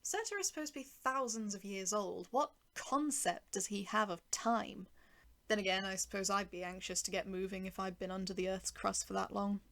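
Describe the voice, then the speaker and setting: A woman narrating a first-person short story in an expressive, deliberate, dramatic manner with pauses for effect. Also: mid-range voice, gravelly.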